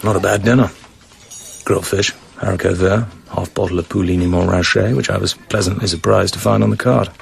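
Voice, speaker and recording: An actor playing a suave British secret agent in a radio drama, with clipped, terse delivery. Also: male voice, deep voice, fairly even intonation, a little fast, vocal fry, slight background noise. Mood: relaxed, terse. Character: suave British male secret agent narrating his own danger. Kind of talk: radio drama acting, terse first-person narration. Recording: music behind the voice.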